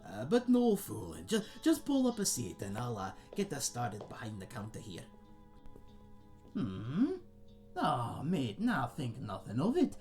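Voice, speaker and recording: Casting: male actor as aging fantasy innkeeper, very lively intonation, folksy and self-deprecating, rambles amiably to a guest, music underneath. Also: medium pitch, a little slow. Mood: hospitable, joking. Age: older. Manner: rambling, folksy, hesitant, chuckling aside to a guest. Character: friendly old male tavern keeper, folksy, chatty, self-deprecating. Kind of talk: fantasy audio roleplay, addressed to the listener.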